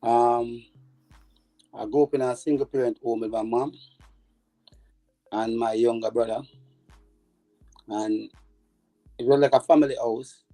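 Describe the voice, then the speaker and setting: A Jamaican former footballer answering interview questions, halting and repeating words as he recalls his childhood. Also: mid-range voice, steady tone, mellow, soft background music, poor audio.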